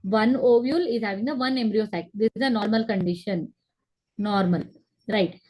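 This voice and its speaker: A woman's voice: medium pitch, normal speed, darkish tone, slight background noise, poor audio. A young woman teaching a biology class online over a video call, explaining step by step, repeating key terms and checking that the students follow. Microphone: laptop webcam on a video call.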